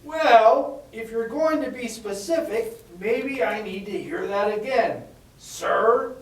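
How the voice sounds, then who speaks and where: Man acting slow, evasive witness in echoey room. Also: very high-pitched, lively intonation, heavily acted. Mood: grudging, evasive. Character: evasive, grudging male shop-owner puppet on the witness stand. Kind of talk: courtroom testimony re-enactment. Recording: echoey room, distant mic.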